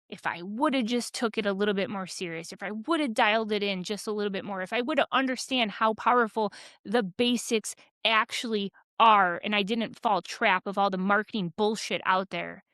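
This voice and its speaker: A woman's voice: medium pitch, moderate pace, sharp tone, dry recording, clean studio sound. A woman co-hosting a podcast, ranting in a fired-up, rambling way with many restarts. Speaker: podcast co-host. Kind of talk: candid casual chat.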